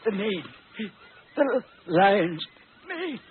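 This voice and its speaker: A young schoolmaster in mortal agony. He gasps and forces his words out very slowly. The recording is a tinny old radio drama with some noise. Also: high-pitched, sing-song, exaggerated voice. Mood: agonised, gasping. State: gasping, in pain. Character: dying red-haired young schoolmaster in agony.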